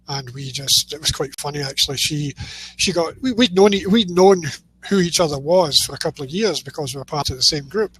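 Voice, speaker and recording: Scottish male guest, easygoing, restarts phrases, slightly high pitch, light background hum. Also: low-quality recording. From Scotland. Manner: relaxed, repeats words as he starts sentences. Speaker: guest, publishing services provider. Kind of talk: casual interview chat.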